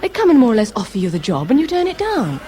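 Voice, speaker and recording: An actress playing a sharp, exasperated young woman in a radio drama, with a British accent and an emphatic, scolding delivery. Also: a little high, wildly swooping pitch, fast, slight background noise. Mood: impatient.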